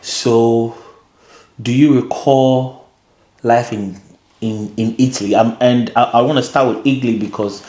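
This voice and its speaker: A man's voice: medium pitch, steady tone, measured pace, dark tone. A man hosting a conversational interview, repeating words as he phrases his questions. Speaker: interview host. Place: guest's home, indoors. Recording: roomy indoor echo.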